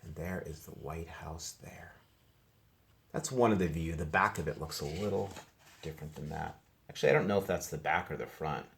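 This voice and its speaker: A man's voice: very deep voice, normal speed, gravelly. A man working as a teacher, reading a picture book to young children and commenting casually in simple explanations. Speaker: teacher reading aloud to first grade class.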